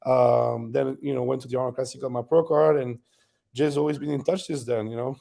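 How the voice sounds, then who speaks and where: A man in his thirties with a French accent, a bodybuilder guest telling stories in a relaxed interview, laughing often. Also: mid-range voice, brisk, gravelly. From France. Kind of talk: casual interview storytelling.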